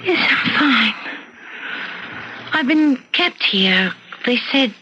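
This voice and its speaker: An actress playing an evasive, discontented wife in an old-time radio mystery, with measured, dutiful answers. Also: female voice, high-pitched, animated, heavily acted. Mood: guarded, puzzled. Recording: noisy, muffled radio drama recording.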